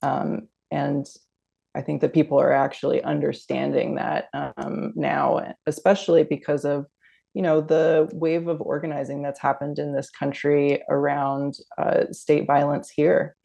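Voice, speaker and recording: A woman activist guest speaking in an interview, thoughtful, often pausing with "um" and "you know". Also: low voice, level tone, gravelly, dark tone. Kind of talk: casual interview talk.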